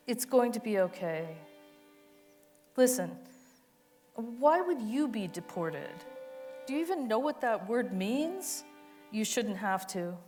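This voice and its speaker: A woman's voice: a little high, a little mellow. Woman tenderly reading a personal monologue. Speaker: co-reader of a monologue. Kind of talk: dramatic reading of an autobiographical monologue.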